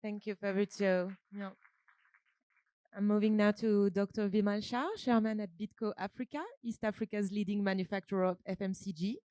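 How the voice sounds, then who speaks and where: A woman moderating a business panel, introducing speakers in a measured way with frequent ums. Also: mid-range voice, flat delivery, mellow, no room sound. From France.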